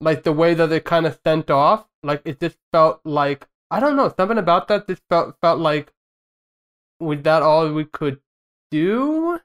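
A man's voice: fairly high pitch, normal speed, a bit bright, clear, resonant tone. A man co-hosting a film podcast, talking casually over a voice call. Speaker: podcast co-host. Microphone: voice call recording.

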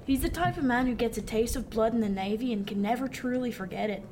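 A woman acts out a seasoned merchant sailor man for a radio play. The voice sits fairly high, wry and confiding, full of old-fashioned nautical turns of phrase. There is clear background noise around it. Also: fast talker, darkish tone, close to an everyday voice. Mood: frank, reassuring. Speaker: actor. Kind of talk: radio drama acting.

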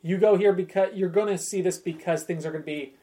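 A man in a relaxed podcast conversation, presenting to the others. His delivery is halting, often circling back and restarting his thought partway through. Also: sits high. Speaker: podcast panelist presenting film trailers. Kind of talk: casual chat.

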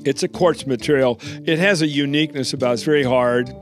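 A man's voice: mid-range voice, fast talker, faint music behind. A man, a company founder in a radio ad, explaining his craft in a folksy way. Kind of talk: ad interview.

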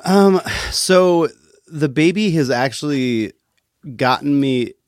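A man talking casually as a podcast guest, thoughtful and halting, with a wry streak. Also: a little high, expressive, no room sound, studio quality. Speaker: interview guest, musician.

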